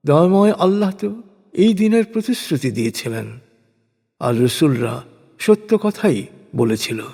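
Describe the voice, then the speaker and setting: A man narrating translated scripture in a solemn, measured, deliberate way, reading from a script. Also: fairly high pitch, vocal fry, darkish tone, breathy edge. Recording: long reverberant hall echo.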